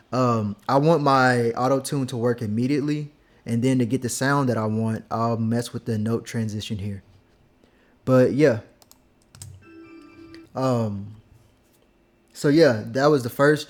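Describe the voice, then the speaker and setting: A young man casually explaining how he mixes his vocals, rambling and filling pauses with "like" and "um". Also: medium pitch, a little mellow. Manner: laid-back, rambling, heavy use of "like" and "um". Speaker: music producer teaching vocal mixing. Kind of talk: casual screen-recorded tutorial.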